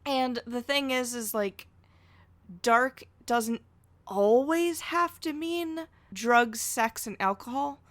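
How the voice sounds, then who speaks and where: Higher-voiced woman chatting on a podcast. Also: takes it slowly, fairly bright tone, dry recording. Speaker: podcast guest. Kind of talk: casual opinionated podcast chat.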